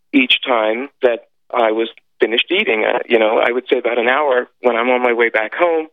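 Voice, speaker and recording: A man whose first language is French, a guest telling his story in a radio interview, pausing often with filler sounds and restarts. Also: medium pitch, no room sound. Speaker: guest, former intelligence officer. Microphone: phone call. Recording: tinny phone line, dry.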